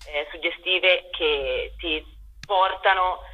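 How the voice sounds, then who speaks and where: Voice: mid-range voice, steady tone, takes it slowly, highs cut off. A young theatre director answering interview questions hesitantly, searching for words as they go.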